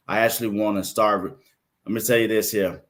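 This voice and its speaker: A man in his fifties from Florida with a Southern accent, a guest in a casual interview who tells lively stories and acts out quoted voices. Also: lowish voice, fairly quick. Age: 50s. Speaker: podcast guest, playwright.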